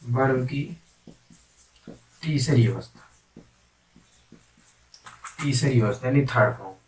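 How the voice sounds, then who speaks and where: A man's voice: medium pitch, slow pace, darkish tone, slight background noise. A man teaching grammar like a classroom teacher, repeating key phrases and putting questions to his students. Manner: repetitive, question-and-answer teaching, addressing students. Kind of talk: classroom-style grammar lesson in Hindi with English terms. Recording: distant microphone.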